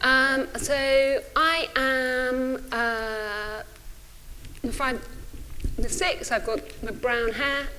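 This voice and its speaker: A woman's voice: high voice, fairly even intonation, drawn-out words, bright, forceful tone, slight background noise, poor audio. A woman in her forties with a stutter, giving an informal, hesitant and self-effacing symposium talk. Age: 40s. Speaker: speaker, runs a think tank.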